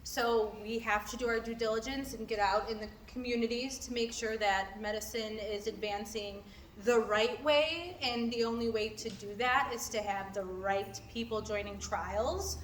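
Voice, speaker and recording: A woman's voice: fairly high pitch, normal speed. A woman giving an informal, earnest presentation to a group, explaining as a company representative. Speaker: clinical trial company representative. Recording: echoey room, distant mic, background noise.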